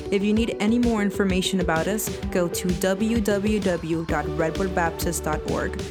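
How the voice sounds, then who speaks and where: Lady announcer reading a church intro, normal pitch, loud music underneath. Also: normal speed. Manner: reads a scripted welcome. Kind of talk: scripted recorded intro.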